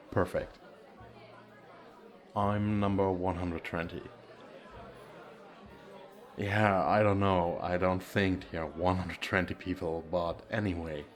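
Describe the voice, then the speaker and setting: A man acts out a gentle single dad flirting in an ASMR roleplay. The voice is low and unhurried, hesitant and self-deprecating, over a faint murmur of a crowd. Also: fairly even intonation. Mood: polite, hesitant.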